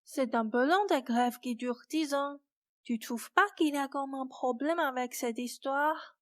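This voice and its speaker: A woman playing a gentle, frank young fairy-tale heroine in a student radio play. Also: sits high, measured pace. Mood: frank, concerned.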